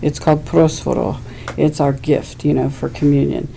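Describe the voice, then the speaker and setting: Interviewee: woman, first language Greek, low gravelly voice, unhurried and chatty, faint noise behind. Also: little pitch movement, dark tone, rough recording. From Weirton, West Virginia. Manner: unhurried, with frequent fillers and "you know". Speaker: oral history interviewee. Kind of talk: relaxed personal recollection.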